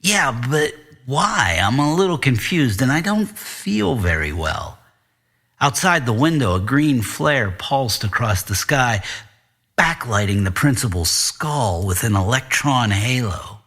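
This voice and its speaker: Man voicing a wry, animated tenth-grade boy narrator. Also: mid-range voice, measured pace, exaggerated voice. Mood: uneasy, confused. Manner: conversational, sardonic storytelling. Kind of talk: first-person noir audiobook narration.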